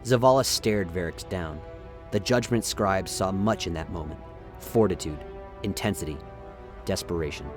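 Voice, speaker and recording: A man's voice: sits low, normal speed, over music. A man narrating a science-fiction radio play in a dramatic, scripted storytelling style. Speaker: narrator, lore reader.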